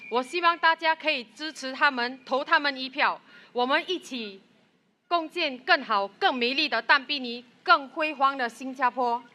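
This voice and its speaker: A woman's voice: high-pitched, normal speed, pushed and bright, slight background noise. A woman giving an earnest, rousing speech at a political rally. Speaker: rally speaker. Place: outdoor rally with loudspeakers.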